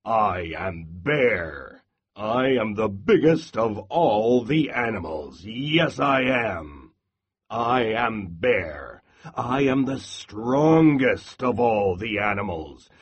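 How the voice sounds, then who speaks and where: A big, bragging bear who drags out every word, as a man puts him on. The voice sits low and a little husky, and it swings widely as he boasts. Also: expressive, lo-fi sound, heavily acted. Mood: boastful. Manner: slow, deep, booming brags.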